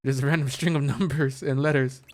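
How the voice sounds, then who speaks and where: A man's voice: mid-range voice, fairly even intonation, a little fast, darkish tone, no echo. A man streaming a game with casual, rambling commentary, thinking aloud as he searches for words and reads things out. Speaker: video game streamer and host.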